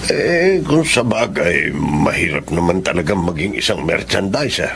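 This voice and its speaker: A man's voice: low voice, very animated, a little slow, vocal fry, a bit bright, slight background noise, heavily acted. A man playing a worried older father musing about his son in a radio drama. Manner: concerned, musing.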